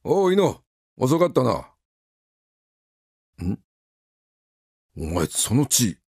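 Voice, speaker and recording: A man acting a gruff, weary father with a husky, gravelly voice in a radio drama. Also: mid-range voice, big pitch swings, takes it slowly, a little mellow, full character voice. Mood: concerned. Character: gruff, weary father of two grown children.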